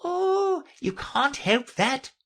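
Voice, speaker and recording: Woman voicing a sly mad cat, swooping high. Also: big pitch swings, very slow, fairly bright tone, full character voice. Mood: gleefully mad. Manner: teasing, emphatic, playfully argumentative. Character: grinning mad cat, sly, breezy, teasingly logical. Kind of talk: children's storybook character voice.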